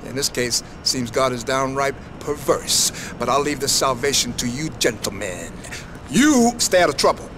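A man's voice: mid-range voice, expressive, moderate pace, noisy background, natural voice. An actor playing a stern, scornful law officer in a video game, sardonic and condescending as he lectures. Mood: contemptuous. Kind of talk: video game cutscene acting.

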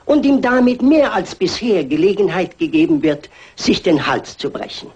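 A performer playing a dry, formal reader of a will in a crime radio drama, with measured delivery. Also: medium pitch, sing-song, darkish tone, breathy edge, muffled recording.

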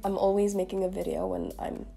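A woman's voice: medium pitch, fairly quick, vocal fry, dark tone, faint music behind. A young woman in her twenties, a beauty vlogger chatting casually to the camera while a little sick with a cold, rambling with lots of fillers. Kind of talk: casual makeup review to camera. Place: small room indoors.

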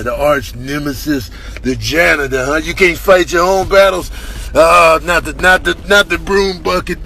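A man's voice: medium pitch, vocal fry, a bit bright, slight background noise. A man voicing a pompous, combative little crab who issues theatrical challenges in a comic animal voiceover. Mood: indignant.

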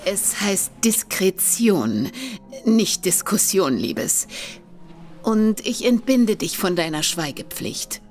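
A woman's voice: medium pitch, very animated, takes it slowly, soft background music. An actress playing a worldly, teasing female brothel keeper in a radio drama.

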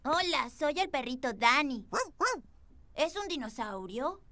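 A performer voicing an excitable, awestruck little boy puppy in a children's cartoon. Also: high voice, slow, no room sound, exaggerated voice. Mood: excited. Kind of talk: children's cartoon dub acting.

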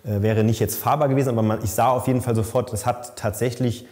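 A man's voice: mid-range voice, steady tone, fast talker, warm tone, slight background noise. A man working as a bike fitter, explaining thoughtfully in a lab, with stumbles and repeated words. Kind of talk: casual expert explanation. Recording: long reverb in a lab room.

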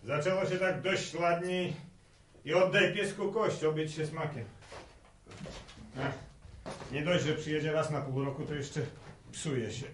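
An actor playing a resentful farmer son in a radio play, grumbling and sarcastic in rural speech. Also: male voice, fairly high pitch, steady tone, a little slow, long pauses, close to an everyday voice. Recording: roomy, noisy radio recording.

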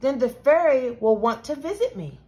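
A woman's voice: high-pitched, sing-song, moderate pace, warm tone. Woman as a dramatic, eager little girl. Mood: determined.

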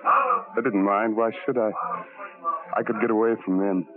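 A tinny old radio recording with loud music under it. A man plays a lonely sideshow outcast with a low voice, brooding and wistful in halting phrases, in a horror drama. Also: fairly even intonation, lightly acted. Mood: resigned. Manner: halting, dreamy, broken phrases. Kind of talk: 1970s radio horror drama narration and acting.

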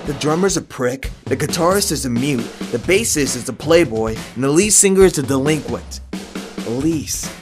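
Woman voicing a low, smug teenage boy. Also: animated, moderate pace, music in the background. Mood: insistent, accusing. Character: teasing, possessive teenage boy singer, childhood friend. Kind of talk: amateur online radio drama acting.

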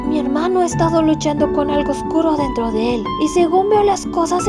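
A woman voicing a gentle, earnest young male skeleton guardian in a comic fan dub, polite and measured. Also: high voice, lightly acted. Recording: loud music under the voice. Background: loud background music.

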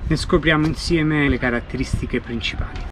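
A man's voice: a little high, normal speed, noisy background. A middle-aged man giving a casual tour of his sailboat, hesitant, with many fillers and restarts, filmed outdoors in a harbor on a handheld camera. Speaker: sailor giving a boat tour. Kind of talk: casual walkthrough vlog. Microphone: handheld action camera at arm's length.

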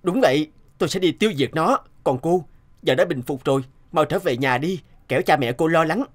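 A man voicing a brave, gentle young hunter in an animated fairy tale, earnest and protective. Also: a little high, brisk, lightly acted. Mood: caring, earnest. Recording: music behind the voice.

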